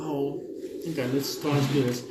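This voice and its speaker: A man's voice: medium pitch, noisy background. A man reading a visual novel's text aloud in a let's play, stumbling and rereading now and then. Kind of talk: let's play read-aloud narration with asides. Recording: roomy echo with game music behind. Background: video game music.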